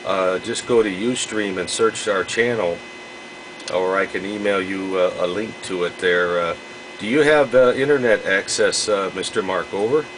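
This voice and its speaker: A man chatting casually as an amateur radio operator, speaking haltingly with frequent pauses. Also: sits low, heavy background noise. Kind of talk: casual radio contact chat.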